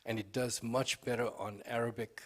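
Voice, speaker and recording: A man's voice: medium pitch, steady tone, normal speed. A man speaking spontaneously in a panel discussion, laying out background for a question.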